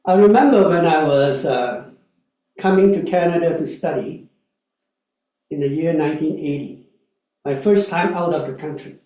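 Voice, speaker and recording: A man's voice: a little high, moderate pace, pauses often, dark tone. A man in his sixties, a lay preacher telling personal stories in a chatty sermon, with frequent "uh" and "right?" asides. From Malaysia. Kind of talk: storytelling sermon. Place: church hall. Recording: echoey hall, distant mic, dull sound.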